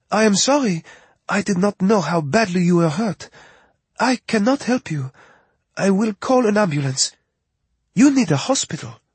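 An anxious young Frenchwoman, a veterinary assistant, pleads in halting, formal English with a thick French accent, as a male narrator puts her on. Her voice sits far higher than the reader's own, with a husky edge and a dry, close sound. It stays tense and urgent throughout. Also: dry recording, full character voice.

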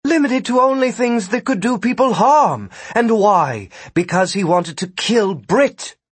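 Principled, direct heroine played by a man, higher pitch, husky, audiobook adventure. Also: lively intonation, normal speed, dry recording. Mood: galled. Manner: direct, confrontational. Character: firm, plainspoken heroine, principled and challenging.

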